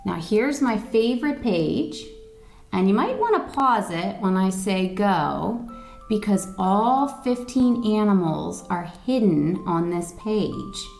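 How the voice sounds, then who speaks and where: A woman reads a children's picture book aloud in an expressive, dramatic way. Her voice sits at a medium pitch with a creaky edge, and music plays behind her. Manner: expressive, dramatic reading with questions to young listeners. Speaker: volunteer storyteller.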